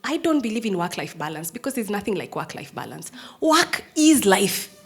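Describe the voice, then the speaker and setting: A Kenyan woman in her thirties speaks to an audience about her work as a prosecutor, in the tone of personal storytelling. Her voice is strong and firm, in an ordinary middle range for a woman. She drops to hushed, almost whispered lines and then rises loud for emphasis. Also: mid-range voice, big pitch swings, normal speed, breathy edge. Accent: Kenyan English. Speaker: prosecutor, speaker giving a talk.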